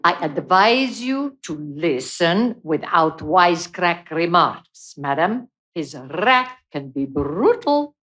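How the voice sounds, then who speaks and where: Woman as a slow, low-voiced servile vampire assistant. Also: sing-song, fairly bright tone, full character voice. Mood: solemn warning. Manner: drawn-out, deferential. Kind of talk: live radio play acting.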